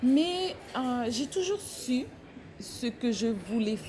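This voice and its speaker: A woman from French Guiana, the founder of a fashion brand, talks about her childhood in an interview, slowly and hesitantly, with many fillers. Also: high-pitched, lively intonation, crowd noise.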